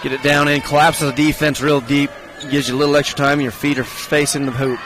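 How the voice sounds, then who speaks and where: A man giving color commentary at a high school basketball game, explaining spacing, screens and rebounds like a coach. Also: flat delivery, brisk, rough recording. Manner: coach-like breakdown of plays and spacing. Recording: game broadcast with gym noise. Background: crowd and court noise of the game.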